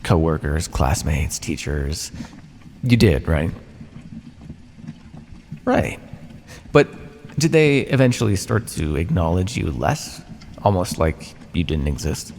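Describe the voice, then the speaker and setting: An ancient vampire captor played by a man taunts his prisoner in an ASMR roleplay, smug and patronising. His pitch swings very widely and drops into a gravelly creak. He leaves theatrical pauses, and the voice rings with long reverb. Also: very animated, a little mellow, exaggerated voice. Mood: coaxing, probing. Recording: long reverb, noisy background.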